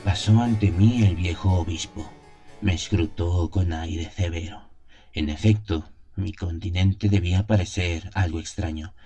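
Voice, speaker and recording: A man's voice: sits low, measured pace, dark tone. A man narrating a gothic tale in the first person as a scripted audio drama, solemn and theatrical in his storytelling. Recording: music behind the voice. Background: music.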